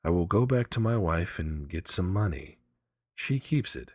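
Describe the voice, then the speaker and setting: A man voicing a proud, hot-tempered, scheming husband in a storybook, forceful and demanding. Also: sits low, animated, moderate pace, no room sound, clean studio sound. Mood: curt.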